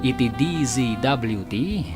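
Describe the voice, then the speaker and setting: A man reading a dramatic scripted radio drama announcement with drawn-out phrases. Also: medium pitch, animated. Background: music.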